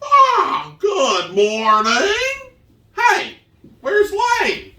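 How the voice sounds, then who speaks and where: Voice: extremely high pitch, huge pitch swings, extremely slow, pushed and bright, exaggerated voice. A performer voicing a cheerful, bossy puppet housemate in an amateur puppet show. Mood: easygoing. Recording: roomy, distant microphone.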